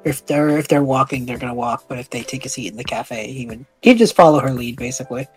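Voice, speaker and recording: A woman's voice: very deep voice, quick pace, husky, soft background music. A woman casually describing a character's actions as a player in a tabletop role-playing game.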